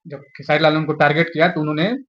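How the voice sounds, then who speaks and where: A man's voice: fairly high pitch, little pitch movement, fast talker, a bit bright, muffled recording. A man with a Bihari accent answering interview questions informally, making his points emphatically and repeating them. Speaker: interview guest commenting on film-star news.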